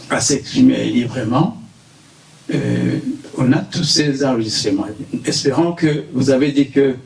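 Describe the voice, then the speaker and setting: Gravelly distant man at a press conference. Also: medium pitch, darkish tone. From Burundi. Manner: hesitant, many fillers, earnest. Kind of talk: unscripted remarks at a press conference. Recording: echoey room, distant mic, faint hiss.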